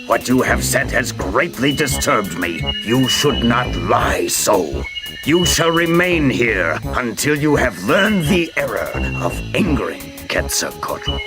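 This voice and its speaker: A proud, wrathful feathered serpent god in a video game. He condemns grandly in a slow, fairly low voice that swings dramatically. Music is clearly heard behind him. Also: big pitch swings. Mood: stern, offended. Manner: grandiose, condemning, drawn-out delivery.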